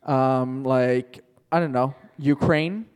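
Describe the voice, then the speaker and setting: Slow-talking man telling a class story. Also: mid-range voice, clear, resonant tone, big-room sound. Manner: chatty, joking, calls on students by name. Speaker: teacher.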